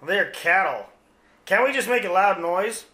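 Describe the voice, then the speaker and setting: A man's voice: high-pitched, animated, a little slow, pushed and bright, full character voice. A man playing a naive, plain-spoken, skeptical henchman in a parody radio play. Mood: doubtful. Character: hapless practical-minded male henchman to a villain. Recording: roomy, noisy home recording.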